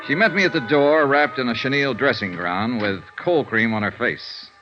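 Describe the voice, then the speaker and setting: An actor playing a cool, terse insurance investigator in a vintage radio noir, speaking and narrating in a dry, matter-of-fact way. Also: male voice, mid-range voice, moderate pace, dull audio, lightly acted. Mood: dry narration. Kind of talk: 1950s radio noir acting and first-person narration. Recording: vintage radio recording, band-limited, music behind.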